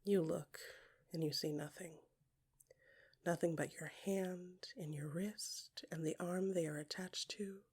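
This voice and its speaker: A woman narrating scripted second-person fiction in an intimate, dramatic way, with a breathy voice that often drops into whispers and deliberate short phrases. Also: fairly low pitch, steady tone, darkish tone. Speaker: podcast creator and narrator.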